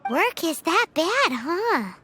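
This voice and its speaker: A woman voicing a tiny, perky, childlike fairy companion in a video game, with a squeaky voice and blunt manner. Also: big pitch swings, takes it slowly, exaggerated voice. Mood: curious. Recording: music playing behind voice. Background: loud playful game music.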